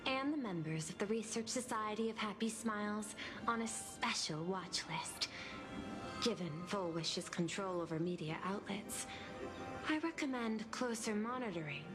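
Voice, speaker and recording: A woman playing a composed, formal administrator in a video game, speaking in a measured, analytical way. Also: medium pitch, lively intonation, over music, close to an everyday voice. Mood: grave, analytical. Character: composed, formal female administrator of a threatened homeworld. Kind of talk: scripted video game dialogue.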